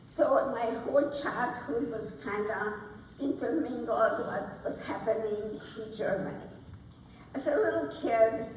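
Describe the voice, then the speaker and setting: A woman, telling her own story to an audience in simple, halting phrases. She takes her time, with long unhurried pacing. The sound is very reverberant and somewhat distant from the microphone, as in a big ceremony hall. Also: a little high, darkish tone. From Budapest, Hungary. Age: 90s. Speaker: guest speaker, Holocaust survivor. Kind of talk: personal testimony to an audience. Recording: echoey hall, distant mic, faint noise.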